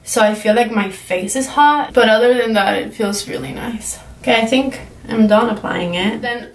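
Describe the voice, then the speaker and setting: Brief: young Cuban-accented woman vlogger, chatty and rambling, voice a bit high, recorded at a distance from the mic. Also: normal speed, a little hiss or hum. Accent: Cuban Spanish. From Cuba. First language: Spanish. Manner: chatty, rambling, many fillers like "um" and "like". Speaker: beauty vlogger. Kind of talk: casual chat to camera. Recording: echoey room, mic at a distance.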